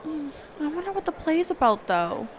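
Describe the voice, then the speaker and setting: A woman playing a sheltered, curious mage teacher in a conversational roleplay audio drama, halting and often correcting herself. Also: high-pitched, big pitch swings, background noise. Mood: flustered, curious. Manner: halting, hesitant, trailing off and self-correcting.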